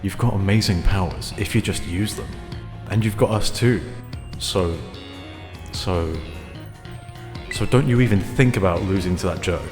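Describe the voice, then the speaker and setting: A man's voice: sits low, unhurried, long pauses. A man voicing an encouraging ally who urges a fighter on, in dramatic fan-dub style. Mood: rousing, urgent. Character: rousing, encouraging male ally urging a fighter on. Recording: long hall reverb under music.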